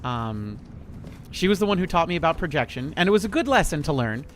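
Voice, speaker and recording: A man's voice: fairly high pitch, sing-song, a little fast, fairly bright tone, slight background noise. A man in his thirties streaming a horror game, chatting in a rambling, laid-back way with a lot of swearing. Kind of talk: casual gameplay commentary.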